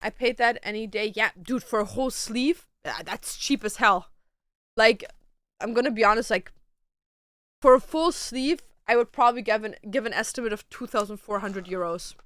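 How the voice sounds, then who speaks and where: Young woman streamer, tattoo artist, higher bright voice, dry close sound, loose and chatty. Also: normal speed, no room sound. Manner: chatty, loose, peppered with "like" and "dude". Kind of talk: casual chat answering viewer questions.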